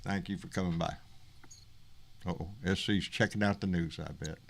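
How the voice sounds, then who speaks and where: Brief: male host, loose folksy talk, a bit deep. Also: fairly even intonation, moderate pace, vocal fry. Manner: folksy, rambling, repeats words. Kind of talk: casual live-stream sign-off.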